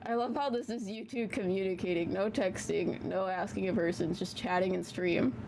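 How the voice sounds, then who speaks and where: A woman hosting a tarot live stream from her basement, talking in a chatty, slangy way with a small, soft voice and some casual swearing. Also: mid-range voice, moderate pace, vocal fry, noisy background. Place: home basement.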